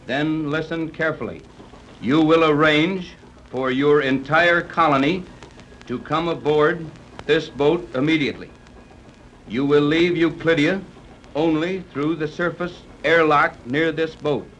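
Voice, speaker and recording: An actor playing a confident, decisive ship captain in a 1930s radio adventure serial. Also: male voice, a little high, steady tone, measured pace, fairly bright tone. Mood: stern, deliberate. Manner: decisive, clipped orders. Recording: noisy 1930s radio recording.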